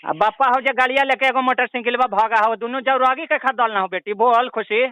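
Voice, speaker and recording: A man's voice: extremely high pitch, steady tone, a little fast, bright, forceful tone, clear, resonant tone, no room sound, muffled recording. A man voicing a whiny, ailing old villager with a Bihari accent, pleading and rambling in a comic prank call. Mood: agitated. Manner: pleading, rambling, repeatedly addressing the listener as daughter. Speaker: prank caller.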